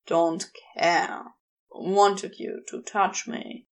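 A woman voicing a quietly commanding but tender male lover in an audiobook, speaking in an intimate, coaxing way. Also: fairly low pitch, little pitch movement, extremely slow, pitched below the performer's own voice. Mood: tender, casual.

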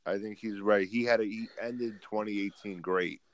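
A man, a guest on a sports podcast, talking in a relaxed, hesitant way. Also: on the low side, normal speed. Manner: relaxed, hesitant, lots of "um" and restarts. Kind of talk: casual sports chat.

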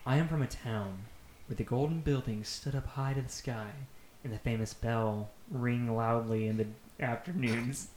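A man co-hosting a casual podcast, animated and joking as he chats and reads. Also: mid-range voice, moderate pace. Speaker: podcast co-host. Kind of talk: casual chat and mock-dramatic reading. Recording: echoey room with noticeable background noise.

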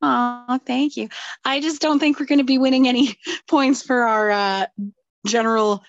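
A woman's voice: high-pitched, steady tone, normal speed, dry recording, muffled recording. A woman co-hosting a podcast in casual chat, joking drowsily about herself. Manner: self-deprecating, drowsy joking. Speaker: podcast co-host.

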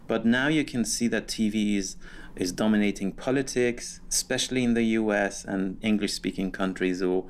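A man's voice: lowish voice, moderate pace. A man speaking as a podcast guest, answering thoughtfully with hesitant restarts. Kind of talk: conversational interview answers.

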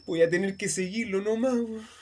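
A man's voice: sits high, moderate pace, a little hiss or hum, close to an everyday voice. An actor playing a worried, exasperated young man with a colloquial Chilean accent in a radio drama. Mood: weary, exasperated. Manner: colloquial, slangy. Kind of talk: naturalistic radio drama acting.

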